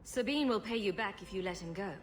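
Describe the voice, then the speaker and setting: A woman playing a wry, expressive rogue. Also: medium pitch, quick pace, faint music behind, close to an everyday voice. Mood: firm, persuasive. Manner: sarcastic, cool, commanding. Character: confident, wry young female rogue adventurer. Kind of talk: video game cutscene acting.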